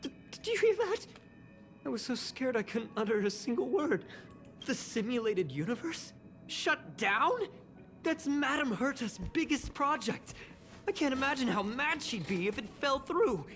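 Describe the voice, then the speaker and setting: A woman's voice: high voice, big pitch swings, measured pace, fairly bright tone, exaggerated voice. A woman voicing an anxious, earnest, self-doubting young boy assistant in a video game, nervous and hesitant. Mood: panicked, worried. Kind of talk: scripted video game dialogue. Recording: game music behind.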